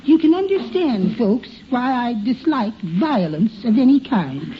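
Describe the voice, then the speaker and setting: An actor playing a meek, prim, henpecked little husband in a 1950s American radio comedy. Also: male voice, very high-pitched, very animated, a little slow, heavily acted. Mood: meekly aggrieved. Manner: prim, genteel phrasing. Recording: dull, noisy 1950 radio recording.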